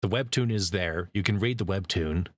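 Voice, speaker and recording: A man hosting a casual interview with a voice actor, relaxed and quick to laugh. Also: sits low. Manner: relaxed, with easy laughs and "yeah" backchannels. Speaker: interview host.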